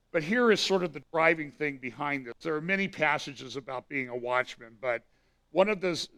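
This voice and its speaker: Hoarse, raspy man giving a church talk. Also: medium pitch, bright, forceful tone. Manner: conversational, with frequent fillers and restarts. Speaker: pastor giving weekly prophecy update.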